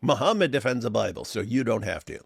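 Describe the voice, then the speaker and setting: A man chatting on a talk show, his pitch swinging widely as he jokes. His voice has a gravelly fry to it. He repeats punchlines for effect, recorded dry and close. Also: fast, no echo. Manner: lively, joking, repeats phrases for effect. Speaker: co-host. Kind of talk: casual reaction chat.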